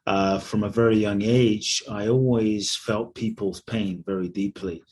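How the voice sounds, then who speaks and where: A man in his forties, born in Ghana and raised in London, telling his life story as a podcast guest in an earnest, measured way. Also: lowish voice, steady tone, darkish tone. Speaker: guest, spiritual speaker and author. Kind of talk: reflective storytelling in an interview.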